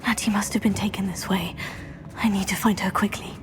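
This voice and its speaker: A woman's voice: medium pitch, fairly even intonation, a little fast, music in the background, lightly acted. A young woman playing an earnest, caring teenage witch heroine in a video game. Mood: hushed, urgent. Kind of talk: scripted video game voice acting.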